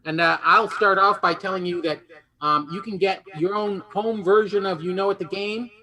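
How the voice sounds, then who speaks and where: Bright, high-pitched man hosting a game show. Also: fairly even intonation, moderate pace, poor audio.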